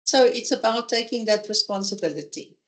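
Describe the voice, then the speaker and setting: A woman's voice: fairly high pitch, animated, fairly quick. A woman professor speaking candidly and conversationally at a seminar, sharing her opinions openly. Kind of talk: seminar talk. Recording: echoey conference room.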